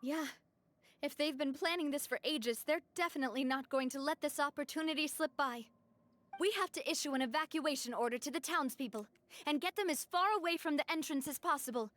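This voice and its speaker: A woman's voice: sits high, fairly quick, fairly bright tone. An actress playing a determined young woman leader who speaks in an urgent, commanding way in a video game.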